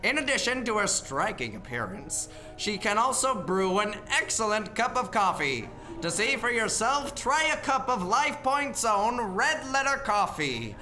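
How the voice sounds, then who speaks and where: Polished 1940s announcer, played by a man, high pitch, animated delivery over music. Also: unhurried, heavily acted, low-quality recording. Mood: smooth, promotional.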